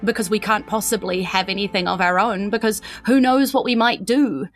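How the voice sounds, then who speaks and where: A New Zealand woman playing an earnest, nervous but determined teenage empress in a tabletop game, speaking haltingly. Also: mid-range voice, fairly even intonation, soft background music, close to an everyday voice. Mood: bitter, determined.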